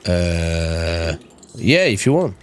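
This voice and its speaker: A man from Belgium, a native French speaker with a Belgian French accent, live-streaming a game and chatting excitedly in simple, repetitive English. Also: sits low, extremely slow, music in the background. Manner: excitable, repeats words in simple broken English. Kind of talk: live gaming commentary and voice chat.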